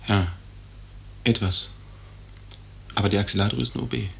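A man's voice: fairly low pitch, steady tone, moderate pace, vocal fry. A man acting a deferential, doubting junior hospital doctor in a 1950s radio drama, clipped and respectful. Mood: clipped, matter-of-fact. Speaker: actor. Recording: noisy old 1950s radio recording.

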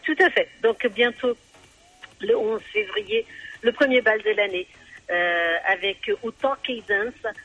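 A woman's voice: fairly high pitch, expressive, a little slow, narrow, tinny audio. A woman, president of an association, chatting cheerfully as a radio guest with many hesitations. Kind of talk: casual radio chat.

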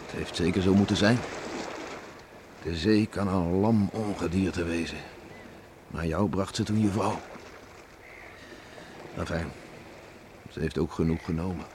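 A man's voice: sits low, a little slow, pauses often. An actor playing an ageing, plain-spoken island farmer father in a Dutch radio drama, musing and concerned. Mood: subdued, probing. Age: older. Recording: noisy old radio play recording.

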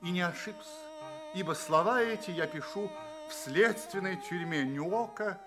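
An actor playing a polite, bewildered space traveler in a radio play, speaking and narrating in an earnest, expressive way. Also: male voice, sits high, moderate pace, music in the background, natural voice. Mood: earnest storytelling. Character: bewildered, polite male space traveler telling his adventures.

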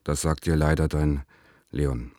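An actor in a radio play voicing a melancholy, tender lover who reads his letter intimately and deliberately. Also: a bass voice, steady tone, darkish tone, no echo, clean studio sound. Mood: brooding.

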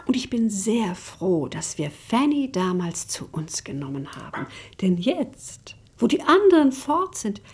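Middle-aged lady of the manor, clingy and languid, husky soft voice, radio play. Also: medium pitch, big pitch swings, unhurried, heavily acted. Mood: contented, complacent. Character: languid, clingy wife and mother of a genteel household. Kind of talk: German radio play acting.

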